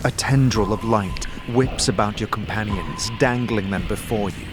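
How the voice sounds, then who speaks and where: A man's voice: on the low side, moderate pace. Dramatic male fantasy narrator over music. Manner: vivid, suspenseful storytelling. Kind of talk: dramatic scripted second-person fantasy narration.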